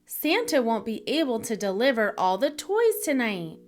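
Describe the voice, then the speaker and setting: Lady reading as a lil robot buddy in a kids book, helpful n excitable, high animated voice. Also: moderate pace. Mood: earnest, explaining. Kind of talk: children's storybook read-aloud.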